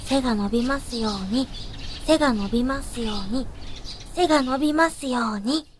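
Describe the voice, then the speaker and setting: A woman's voice: extremely high pitch, lively intonation, a little slow, reverberant, in a large hall, noisy background. A woman playing an earnest, polite, small young male college student in an audio drama. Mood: hushed wishing. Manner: polite, formal, soft-spoken earnest delivery. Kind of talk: audio drama monologue and dialogue.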